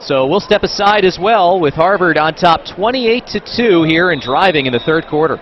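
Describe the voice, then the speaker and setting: A man calling college football play by play, brisk and informative. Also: a little high, expressive. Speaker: play-by-play announcer. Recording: dull broadcast audio with crowd noise.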